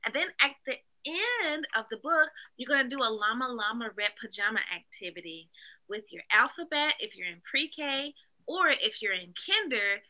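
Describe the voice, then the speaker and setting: A woman in an expressive, animated read-aloud style, speaking directly to young children as a teacher would. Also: a little high, moderate pace, poor audio. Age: adult.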